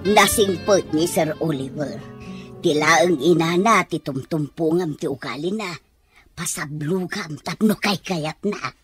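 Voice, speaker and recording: A woman playing an older, plain-spoken, teasing housemaid with a husky voice in an Ilocano radio drama. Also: low voice, measured pace, soft background music. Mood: confiding, matter-of-fact.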